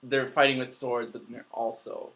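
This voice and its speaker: A man's voice: medium pitch, level tone, normal speed, a bit bright. Hesitant, playful man co-host. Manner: hesitant, filler-heavy, playful. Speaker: podcast co-host. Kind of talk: casual group chat.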